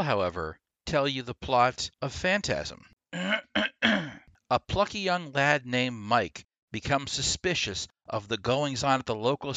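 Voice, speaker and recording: Male podcast host, crisp forward tone, dry close mic, emphatic scripted reviewer style. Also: lowish voice, pushed and bright, no echo. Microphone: close, dry podcast microphone.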